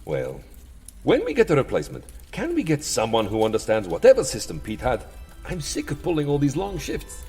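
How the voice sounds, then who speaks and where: An actor playing a world-weary vampire master of a castle in a comedy audio drama, theatrical and musing, given to petty grumbling. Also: male voice, medium pitch, wildly swooping pitch, fairly quick, darkish tone, breathy edge, faint music behind, exaggerated voice.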